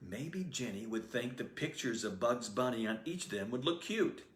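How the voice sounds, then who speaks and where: A man reading his own novel aloud as storybook narrator, expressive and lively. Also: mid-range voice, moderate pace. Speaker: author reading his book. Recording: roomy echoey room.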